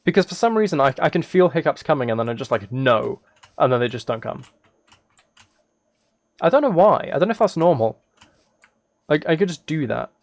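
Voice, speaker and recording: A man's voice: a little high, big pitch swings, fairly quick, long pauses, dry recording. A man chatting casually on a livestream as he plays a game, rambling and thinking aloud. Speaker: live streamer. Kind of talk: casual livestream chat while gaming, reading chat.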